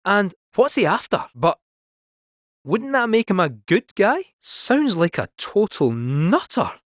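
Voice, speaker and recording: Casting: small talking robot cat, Scottish accent, jaunty and teasing, high voice, hugely animated game dialogue. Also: male voice, takes it slowly, no echo, clean studio sound, heavily acted. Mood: curious. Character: small cheeky talking robot cat, male, chatty and theatrical.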